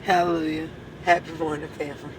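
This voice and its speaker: A hoarse woman, a church leader giving an impassioned address full of repeated rhetorical questions. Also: sits low, little pitch movement, fairly quick, background noise.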